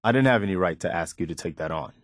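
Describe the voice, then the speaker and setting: An actor playing an earnest, loyal militia lieutenant in a video game, sincere, respectful and soldierly. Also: male voice, low-pitched, expressive, fast talker, no room sound, clean studio sound. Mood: heartfelt. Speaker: companion character in a video game.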